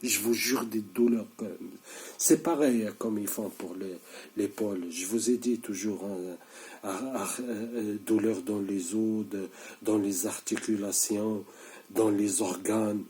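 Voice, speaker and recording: A man's voice: lowish voice, steady tone, a little slow, warm tone. A sleep-deprived man in his thirties talking straight to camera, insistent and repetitive, stumbling and restarting often. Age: 30s. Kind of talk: casual video monologue.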